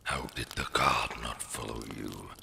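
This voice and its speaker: A man voicing a huge, suspicious male dragon in an ASMR roleplay, with a gravelly, breathy growl and a deliberate, interrogating manner. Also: rumbling low, background noise, pitched below the performer's own voice, heavily acted. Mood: wary questioning.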